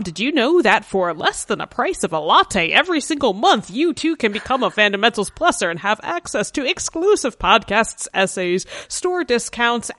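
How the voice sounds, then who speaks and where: A woman's voice: medium pitch, fairly bright tone, dry recording, clean studio sound. A woman hosting a casual podcast chat, talking frankly and laughing often. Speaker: podcast host.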